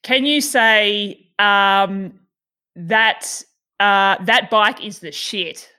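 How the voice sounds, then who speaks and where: A woman with a bright, forward voice hosts a casual podcast interview. She speaks at a middle pitch in an informal, inquisitive way. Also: very slow, clear, resonant tone. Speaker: podcast host.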